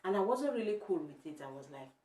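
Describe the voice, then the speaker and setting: A woman's voice: mid-range voice, very animated, fast talker, a little mellow, a little hiss or hum. A young woman with a Nigerian Igbo accent, talking straight to her viewers in a casual opinion vlog and firing emphatic rhetorical questions at them. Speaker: vlogger. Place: home room. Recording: echoey room.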